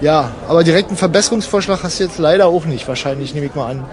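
A man's voice: fairly high pitch, rapid-fire, close to an everyday voice. A man playing a flippant, mock-naive travel companion in a radio play, teasing in colloquial banter. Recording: busy crowd and travel sounds behind.

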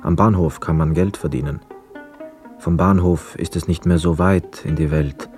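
A man playing a brooding, detached young war returnee, narrating a literary radio play in a measured, sober way. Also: low-pitched, steady tone, a little mellow, faint music behind, lightly acted. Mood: sober, reflective.

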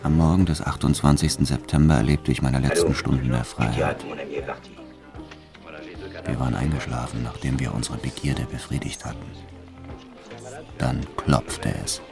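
An actor playing a hardened, world-weary gangster who recounts his crimes gravely and deliberately in a radio drama, with a gravelly voice. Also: extremely low pitch, steady tone, over music. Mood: subdued. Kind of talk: first-person radio drama narration with acted dialogue.

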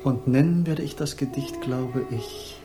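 A man's voice: mid-range voice, measured pace, lightly acted. An actor playing a dreamy male hippie guru and would-be poet, solemn and pretentious, in a 1960s German radio drama. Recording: prominent sitar music behind. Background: sitar music.

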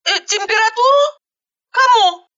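A woman voicing a frantic, sharp-tongued woman in an audiobook, shrieking and indignant. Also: very high voice, expressive, unhurried, dull audio, pitched above the performer's own voice, exaggerated voice.

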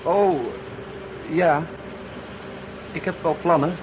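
An actor playing a reticent, evasive young hitchhiker with a terse manner in a radio drama. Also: male voice, a little high, steady tone, takes it slowly. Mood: guarded. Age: young adult. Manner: hesitant, terse. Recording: clear vehicle noise behind dialogue.

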